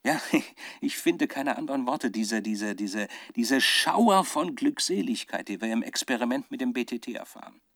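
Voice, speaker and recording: A man's voice: mid-range voice, animated, fairly bright tone, dry recording, clean studio sound. An actor playing a male scientist who keeps a troubled, fervent spoken research diary in a radio drama, often searching for words. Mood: elated, awed. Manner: halting, searching for words, dramatic shifts from musing to fervour. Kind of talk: radio drama monologue as dictated audio diary.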